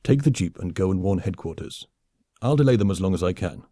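Audiobook hero: unflappable alien sentry, played by a man, mild and understated, lowish mellow voice, dry sound. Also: expressive, brisk, no echo. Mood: calm, resolute. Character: calm, mild insect-like alien bridge guard, stoic hero. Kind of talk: audiobook character voice.

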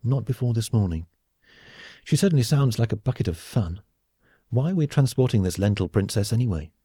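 A man's voice: lowish voice, lively intonation, fairly quick, dark tone, husky, no echo, studio quality. A man voicing a nosy, irreverent crewmate in a comic sci-fi audiobook, with a sardonic, informal manner. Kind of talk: audiobook character acting.

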